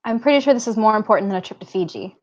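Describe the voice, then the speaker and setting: A woman's voice: mid-range voice, expressive, very fast, close to an everyday voice. A woman acting a loving but firm mother in her forties in a script table read. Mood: loving, protective. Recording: dull, lo-fi voice recording.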